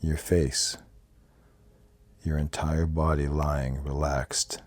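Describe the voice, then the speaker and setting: A man with a very deep voice guides a meditation in a soothing, measured way. His delivery is slow and unhurried, with little rise or fall in pitch. He sounds like a calm relaxation instructor. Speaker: yoga nidra guide. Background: soft plucked guitar music.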